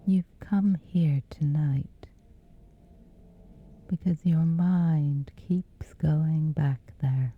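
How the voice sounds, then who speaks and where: A woman with a whispery voice, slowly and gently guiding a sleep meditation. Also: low-pitched, slightly rough, narrow, tinny audio. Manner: slow, soothing, unhurried. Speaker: meditation guide.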